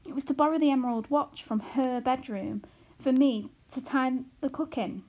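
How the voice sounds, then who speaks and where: A woman's voice: sits high, moderate pace, dark tone, pitched above the performer's own voice, low-quality recording. A woman reading aloud and voicing an anxious, fretful tiny mother who exclaims and frets, in a storybook reading. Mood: distraught. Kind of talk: audiobook character reading.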